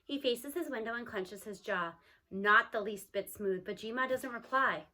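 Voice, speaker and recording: A woman reading a storybook aloud as narrator, expressively, with an animated manner for young listeners. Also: on the high side, normal speed. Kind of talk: expressive storybook read-aloud with character dialogue.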